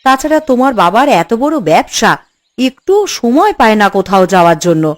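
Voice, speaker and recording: A woman playing a fretful, scolding housewife and doting mother in a cartoon, exasperated and coaxing in an expressive way. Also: a little high, brisk, no echo. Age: adult. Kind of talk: cartoon voice acting.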